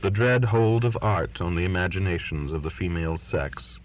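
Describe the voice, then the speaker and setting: A pained father in his forties voiced by a man reading an audiobook muses to himself with sardonic, rueful wryness. The voice sits low, and the sound is dull and lo-fi. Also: normal speed, natural voice. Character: wry, pained forty-eight-year-old father, thinking to himself. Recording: dull lo-fi recording.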